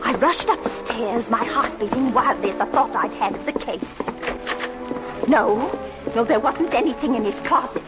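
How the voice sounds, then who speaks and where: A woman's voice: on the high side, measured pace, lightly acted. An actress playing a flustered middle-aged landlady in a 1940s radio drama, with a breathless, dramatic delivery. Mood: fearful, urgent. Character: anxious, flustered working-class landlady and mother, middle-aged. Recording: dull 1940s radio recording with music.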